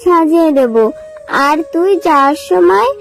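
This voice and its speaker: A woman's voice: very high-pitched, measured pace, gravelly, exaggerated voice. A woman voicing an earnest little jackal girl in a children's cartoon, with a childlike, plaintive way of talking over music. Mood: cheerful, chatty. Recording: music behind the voice.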